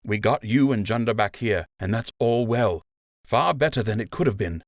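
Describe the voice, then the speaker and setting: Man as a firm, blunt rabbit officer, expressive delivery. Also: on the low side, normal speed, no room sound, clean studio sound, voice pushed up. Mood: matter-of-fact. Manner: blunt, steadying. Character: firm, matter-of-fact male rabbit officer.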